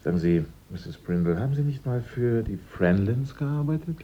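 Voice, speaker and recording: A man's voice: medium pitch, animated, moderate pace, close to an everyday voice. An actor playing a cool-headed male investigator in a German radio drama, asking questions in a dryly polite way. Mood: casually probing. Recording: dull, noisy older radio recording.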